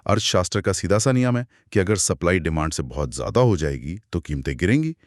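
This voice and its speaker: An Indian man with a fairly low voice and very lively, swinging intonation co-hosts a discussion. He is inquisitive and emphatic in a conversational podcast style. The recording is dry, with no room sound. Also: brisk, dry recording. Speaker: co-host asking questions.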